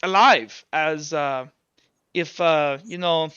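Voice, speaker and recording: A man voicing an evasive, reassuring doctor in a tabletop game, speaking haltingly. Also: fairly high pitch, pushed and bright, clean tone, dry recording, highs cut off, voice pushed down.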